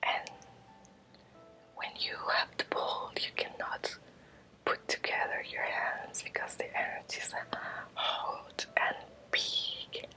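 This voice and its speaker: Woman, Spanish accent, breathy whisper, unhurried ASMR guidance, halting repeated phrases, music underneath. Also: rough recording. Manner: slow, halting short phrases with gentle repetition. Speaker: ASMR creator guiding a Reiki energy exercise. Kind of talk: whispered ASMR guided meditation.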